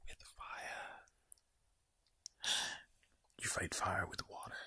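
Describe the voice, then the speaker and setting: A man speaking in a breathy, low ASMR whisper close to the microphone, deliberately repeating words in a soothing yet sinister-sounding way. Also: bright, forceful tone. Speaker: ASMR performer. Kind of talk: ASMR monologue of whispered and low soft reassurance.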